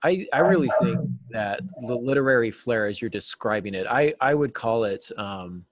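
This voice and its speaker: A man in his forties giving earnest, explanatory answers as an interview guest, stressing key words deliberately. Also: mid-range voice, lively intonation, noisy background. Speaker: writing teacher and novelist, interview guest. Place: small cluttered office, video call.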